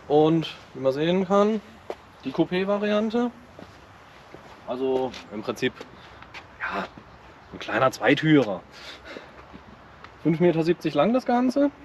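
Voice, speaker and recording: A man's voice: a little high, slight background noise. A man hosting a casual car vlog, presenting his vintage car outdoors in an enthusiastic, chatty way. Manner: enthusiastic, chatty, relaxed hobbyist talk. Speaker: car channel host.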